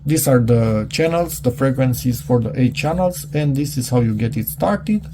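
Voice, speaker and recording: A man thinking aloud in a casual, unscripted hands-on product review, halting and muttering to himself as he goes. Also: medium pitch, animated, background noise.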